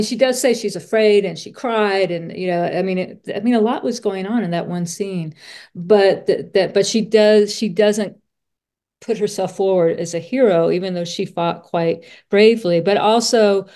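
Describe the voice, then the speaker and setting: A woman's voice: mid-range voice, warm tone. A woman podcast host chatting casually in an interview, thinking aloud and often rephrasing as she goes. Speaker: children's author.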